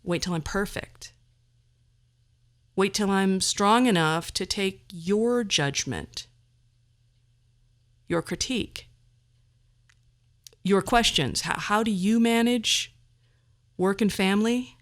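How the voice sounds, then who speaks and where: A woman's voice: mid-range voice, takes it slowly, long pauses. A middle-aged woman hosting a podcast, delivering a personal monologue in a wry, self-deprecating and theatrically emphatic way. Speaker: podcast host.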